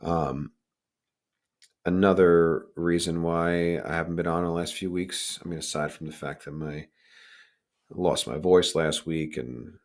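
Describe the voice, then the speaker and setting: A middle-aged man hosting a casual solo podcast, with a raspy, hoarse voice and a candid, halting, informal delivery. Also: low-pitched, fairly even intonation. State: hoarse, recovering from lost voice. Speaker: podcast host.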